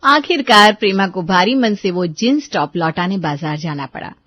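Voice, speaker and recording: A woman reading scripted storytelling narration for an animated moral tale. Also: mid-range voice, normal speed, no echo, muffled recording.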